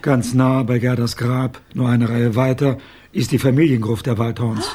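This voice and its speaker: Man acting elderly male sleuth, radio crime play, heavily husky, dark timbre, blunt, confident. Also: mid-range voice, little pitch movement, normal speed, natural voice. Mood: confident, suspicious. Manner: blunt, assertive. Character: gruff, confident old male ex-private detective, gang member.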